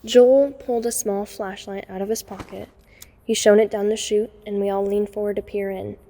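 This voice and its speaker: A woman playing a watchful young woman telling her own story in an audio drama. Her voice is mellow and mid-pitched, with measured scripted narration. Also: steady tone, natural voice. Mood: measured, suspenseful. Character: watchful young woman recounting a night break-in. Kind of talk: scripted first-person narration.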